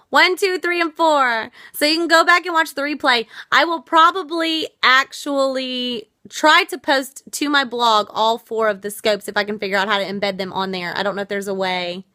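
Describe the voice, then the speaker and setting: Earnest woman with a bright, tired voice. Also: on the high side, no room sound, studio quality. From United States. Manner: earnest, reflective, reads and answers viewer comments. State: losing her voice. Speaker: livestream host. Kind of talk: casual live stream talk to viewers.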